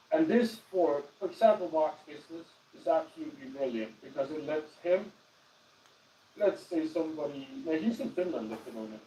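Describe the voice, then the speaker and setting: A man with a Danish accent gives an animated, casual walkthrough of AI phone agents on a live stream, often restarting his sentences. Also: medium pitch, distant mic, slight background noise. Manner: animated, explanatory, with many restarts. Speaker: guest explaining AI phone agents. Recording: roomy echo, voice away from the mic.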